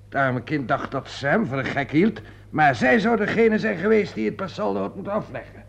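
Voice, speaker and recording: A drunk, belligerent male impresario in a radio play. His fairly high voice crackles with fry and swoops widely. He blusters emphatically, distraught and defiant. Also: expressive, fairly quick, lo-fi sound, full character voice. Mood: bitter, defiant.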